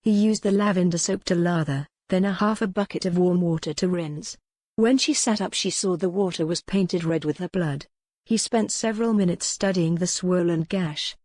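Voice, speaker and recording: A woman's voice: on the low side, normal speed, a little mellow, no echo. A woman reading a historical romance novel as scripted audiobook narration.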